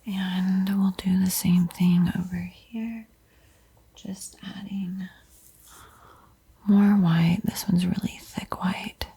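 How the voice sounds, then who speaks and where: A woman teaches in a hushed ASMR whisper, extremely airy and soft. Her delivery is slow and encouraging, with a dark, mellow tone. It sounds like a calm step-by-step tutorial for beginners. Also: lowish voice. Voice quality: breathy whisper. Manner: slow, gentle, encouraging instruction.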